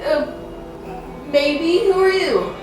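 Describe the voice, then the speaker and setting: A woman's voice: high voice, drawn-out words, reverberant, over music. A woman voicing a defiant runaway teenage girl in an audio drama, evasive and stubborn.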